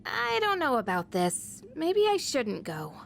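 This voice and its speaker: A woman playing a proud, easily provoked teenage girl in an English video game dub, sharp-tongued and assertive. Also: high-pitched, wildly swooping pitch, measured pace, gravelly, slight background noise, full character voice. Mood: uneasy, annoyed.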